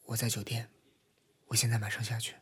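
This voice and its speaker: An actor playing a quiet, meek young younger brother in a modern radio drama, speaking softly and hesitantly. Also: male voice, medium pitch, monotone, fairly quick, slightly rough. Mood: meek, subdued. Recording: loud music bed under the voice. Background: music.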